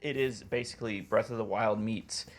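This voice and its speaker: A man's voice: on the low side, steady tone, moderate pace. A man co-hosting a podcast, chatting casually about video games and explaining things in a hesitant way. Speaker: podcast co-host.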